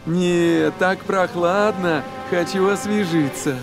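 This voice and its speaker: A very high, slow-paced cartoon little man, childlike and impatient. He whines and exclaims in an exaggerated way, with clear music behind him. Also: steady tone. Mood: whiny, alarmed. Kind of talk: preschool cartoon voice acting.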